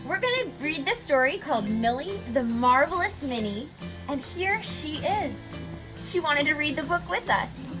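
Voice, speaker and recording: A woman reading a picture book aloud to children like a teacher, expressively, pausing to explain and ask questions. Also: high-pitched, over music, poor audio. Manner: expressive, instructive, asking questions of young listeners.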